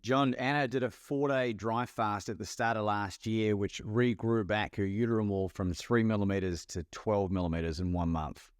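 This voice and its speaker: A man co-host with a fairly low voice talks steadily and explains things plainly. The sound is dry, with no room echo. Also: steady tone, moderate pace, few pauses. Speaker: podcast co-host. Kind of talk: casual interview talk.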